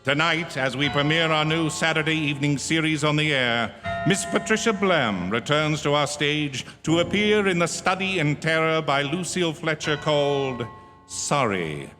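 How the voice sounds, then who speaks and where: An actor playing a mysterious, ominous radio host, announcing in a measured, theatrical 1940s suspense style. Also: male voice, a little high, animated, lightly acted. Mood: ominous, stately. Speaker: announcer introducing the play. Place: live stage in a theatre. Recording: reverberant theatre hall. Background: music.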